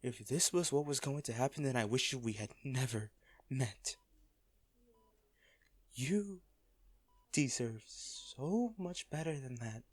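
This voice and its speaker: A man acting a hardened lover pleading at a comatose partner's bedside in a romantic audio roleplay, halting, intimate and earnest. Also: mid-range voice, expressive, no echo. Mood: grieving, broken.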